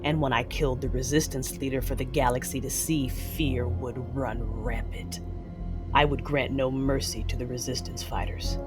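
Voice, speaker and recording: Music swells behind a ruthless Sith lord, narrating a space-opera audio drama. The delivery is measured and commanding, with cold menace in every phrase. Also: female voice, very deep voice, fairly even intonation. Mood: cold resolve. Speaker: narrator and character. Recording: music under the voice.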